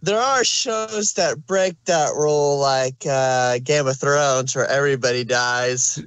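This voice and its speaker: A man's voice: medium pitch, slow pace, fairly bright tone, clear, resonant tone, dry recording. A man chatting casually as a panelist on a fan podcast.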